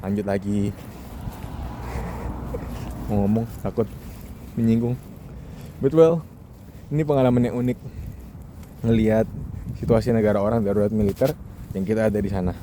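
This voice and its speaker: A man's voice: on the low side, moderate pace, long pauses, warm tone, a little hiss or hum. A young man from Indonesia talks casually in a joking monologue vlog, mixing in English words. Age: young adult. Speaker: vlogger, international student.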